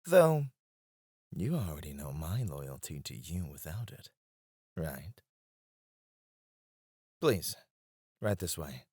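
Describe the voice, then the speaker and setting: A man's voice: rumbling low, big pitch swings, slow, long pauses, a little mellow, slightly rough, no echo, clean studio sound, exaggerated voice. A man playing a devoted, teasing royal advisor in a romantic ASMR roleplay, speaking in a formal, courtly way. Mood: deferential, coaxing.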